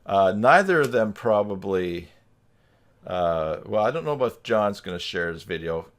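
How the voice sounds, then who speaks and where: Guy with a lowish voice hosting a relaxed video call chat, dry joker. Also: moderate pace. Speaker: host of a live stream. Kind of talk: casual live-stream chat.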